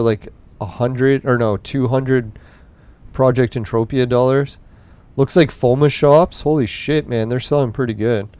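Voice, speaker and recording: Laid-back man with a smooth, dry voice. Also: medium pitch, darkish tone, no echo. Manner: rambling, profane, laid-back. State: voice getting tired late at night. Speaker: gaming podcast host. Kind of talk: casual gameplay commentary.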